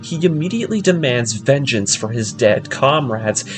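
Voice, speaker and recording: A man with a mid-pitched voice hosts a story recap series over clearly audible background music. He narrates in a scripted storytelling style and mixes in casual, fan-style commentary. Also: expressive, moderate pace. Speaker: host of an anime story breakdown series.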